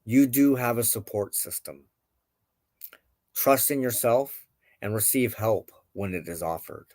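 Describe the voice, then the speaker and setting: A man with a fairly low voice reads tarot cards as the host of a spiritual show. He takes his time, very measured, setting out short deliberate phrases with pauses between them. The sound is dry and close, with little room around it. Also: darkish tone, no room sound. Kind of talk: tarot card reading, reflective spoken commentary.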